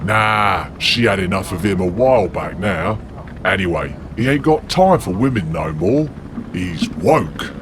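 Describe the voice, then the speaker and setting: A man's voice: sits low, unhurried, pitched below the performer's own voice. A man doing a dry, gossipy warrior. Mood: laconic. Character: weary laddish male demigod warrior. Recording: steady background noise.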